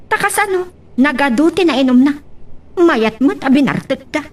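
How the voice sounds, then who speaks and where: A woman with an Ilocano Filipino accent playing an animated woman in a romantic audio drama. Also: high voice, moderate pace, recorded from a distance. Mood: insistent. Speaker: actor in an Ilocano radio-style drama. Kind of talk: amateur audio drama acting.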